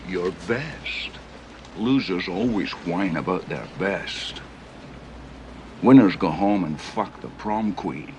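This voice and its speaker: An actor playing a harsh mentor who taunts crudely. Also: male voice, deep voice, lively intonation, slow pace, background noise. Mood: taunting.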